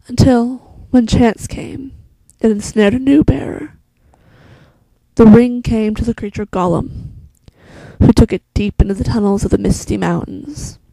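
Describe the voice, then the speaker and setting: Girl doing wise old elf queen monologue, solemn n hushed, lots of creak, soft dark tone. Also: mid-range voice, dry recording, rough recording. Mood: grave. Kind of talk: slow dramatic fantasy monologue.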